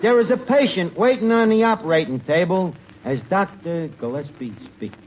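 A man's voice: high-pitched, normal speed. A man hosting and narrating a vintage radio comedy sketch with mock-dramatic delivery. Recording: dull, faintly noisy old radio broadcast.

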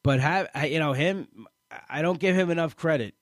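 A man in his late thirties sharing his weight-loss journey in a halting, personal way, often restarting and repeating words. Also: on the high side, no room sound, clean studio sound. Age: late 30s. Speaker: weight-loss program member. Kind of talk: personal testimonial talk.

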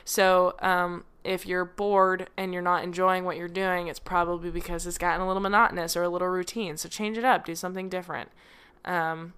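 Young woman doing a solo podcast at home, lowish smooth voice, lots of ums, keeps drifting off the mic. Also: little pitch movement, fairly quick, fairly bright tone. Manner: rambling, self-deprecating, many fillers. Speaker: podcast host. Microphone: home microphone, drifting away from it.

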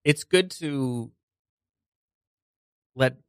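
Male guest, soothing and unhurried with long pauses, bright tone in a dry studio. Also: mid-range voice, clean tone, dry recording. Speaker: podcast guest. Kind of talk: casual podcast chat. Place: radio studio.

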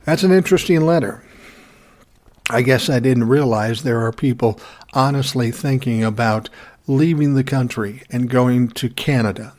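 A man in his sixties with a cold and a scratchy, hoarse throat, hosting a podcast in a calm, measured, plainspoken way, sitting close to the mic. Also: mid-range voice. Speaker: podcast host. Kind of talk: casual solo podcast talk. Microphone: close to the microphone.

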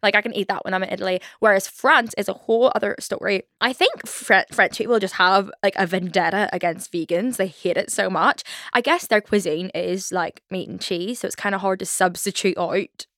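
A woman's voice: medium pitch, a little fast, few pauses, a bit bright, dry recording, clean studio sound. A young woman in her twenties with a Belfast accent, hosting a casual solo podcast, chatty and rambling with lots of "like" and self-mocking asides. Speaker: podcast host.

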